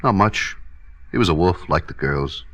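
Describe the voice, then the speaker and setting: An actor playing a brisk, plain-spoken American gym owner in an old radio drama. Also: male voice, sits low, lively intonation, a bit bright. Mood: brisk, frank. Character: brisk, matter-of-fact male gym owner. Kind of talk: 1950s radio drama acting. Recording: noisy old radio recording.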